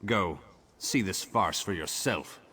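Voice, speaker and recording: A man's voice: sits low, takes it slowly, sharp tone, slight background noise, heavily acted. An actor playing a hot-headed, bitter male elf soldier in a video game, with a scornful, biting manner. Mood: scornful, angry. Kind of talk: video game character dialogue.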